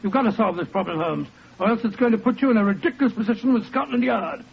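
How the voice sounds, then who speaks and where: An actor playing a bluff, puzzled middle-aged English sidekick, blustering in a 1940s radio drama. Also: male voice, sits high, fast talker, gravelly. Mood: baffled, indignant. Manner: blustering, baffled. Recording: dull, noisy old radio recording.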